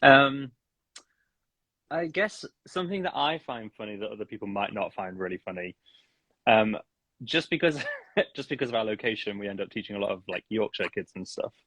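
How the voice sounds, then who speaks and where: Low-voiced chatty man, dry sound. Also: lively intonation, normal speed, pushed and bright, no echo. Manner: chatty, laughs often, self-deprecating. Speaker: singing teacher, podcast guest. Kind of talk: casual interview chat.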